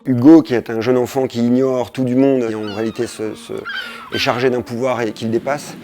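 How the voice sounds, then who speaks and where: Man, game developer, medium pitch, explanatory interview, measured, frequent pauses, faint music. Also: flat delivery, mellow.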